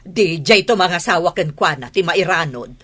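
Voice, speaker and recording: Haughty, scolding village woman, acted, Filipino accent, comedy radio play, highly animated delivery. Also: moderate pace, husky. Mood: belittling. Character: vain, scolding, sharp-tongued village woman. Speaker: radio drama actor. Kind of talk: Ilocano comedy radio drama acting.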